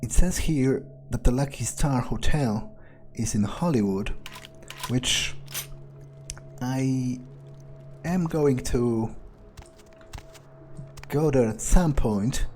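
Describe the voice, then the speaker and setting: Game playthrough role: a man acting an amused, callous vampire thinking aloud. Slow, hesitant delivery, relaxed tone. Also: medium pitch, faint music behind, natural voice. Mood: musing, searching. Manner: musing, hesitant, darkly amused. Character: amused, callous male vampire musing to himself. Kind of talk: in-character game playthrough commentary.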